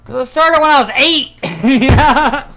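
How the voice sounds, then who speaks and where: A man in his thirties chatting casually and telling personal stories in a rambling, animated, profane way. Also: very high-pitched, slow, over music. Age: 30s. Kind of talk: casual chat, personal anecdotes.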